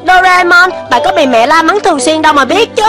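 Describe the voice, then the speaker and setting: Timid cartoon little boy, piercingly high, whiny, hesitant, music in the background. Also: lively intonation, fast talker. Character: young schoolboy, timid, whiny, easily scared. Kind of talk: Vietnamese cartoon dubbing. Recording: music behind the voice.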